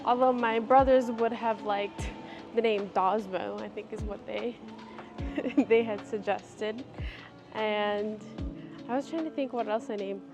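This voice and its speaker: A woman's voice: fairly high pitch, steady tone, unhurried, music in the background. A woman in her thirties from Washington state, chatting casually and a little formally while vlogging through a shopping mall with a handheld camera. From Washington state, USA. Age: 30s. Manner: chatty, giggly, slightly formal phrasing. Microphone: handheld gimbal camera.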